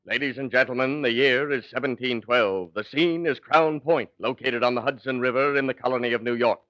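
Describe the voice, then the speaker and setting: A man's voice: mid-range voice, a little fast, a bit bright, no echo, close to an everyday voice. A man acting as the earnest narrator of a mock historical saga, in formal 1940s radio style. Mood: solemn storytelling. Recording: dull 1940s radio recording.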